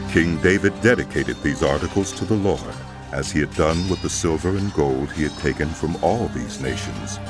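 A man delivers solemn, scripted scripture narration. His voice is extremely low, with lively, dramatic movement in pitch. Music plays clearly behind his deliberate reading.

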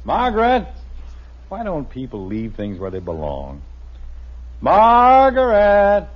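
A man's voice: sits high, wildly swooping pitch, takes it slowly, heavily acted. An actor playing an exasperated, wry, middle-aged suburban father in a 1950s radio sitcom. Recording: muffled, noisy vintage radio recording.

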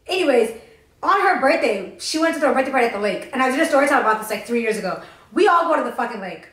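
A young woman co-hosting a podcast, telling a story fast and with lots of swearing while doing her makeup on a couch. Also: high voice, roomy sound. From Tennessee. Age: young adult. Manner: fast, profane, animated storytelling. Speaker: podcast co-host. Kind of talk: casual storytime chat. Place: living room, on a green couch.

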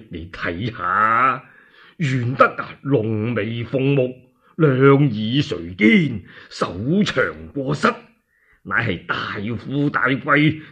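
An old Cantonese court elder of a radio tale, put on by a male storyteller, effusive and grandly flattering. He declaims in long stretched phrases with a husky, swooping voice. The sound is dull and lo-fi. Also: mid-range voice, voice pushed down, full character voice. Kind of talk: one-man radio storytelling.

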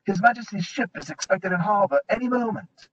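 A man voicing a polite, eager young male fawn who talks brightly and helpfully, on a dull lo-fi recording. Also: high-pitched, brisk, slight background noise, voice pushed up. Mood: informative.

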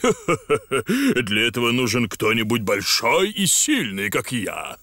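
A man's voice: medium pitch, huge pitch swings, slow, pushed and bright, exaggerated voice. A man voicing a big, jolly, boastful father pig in a children's cartoon dub, hearty and self-satisfied. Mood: puzzled, boastful.

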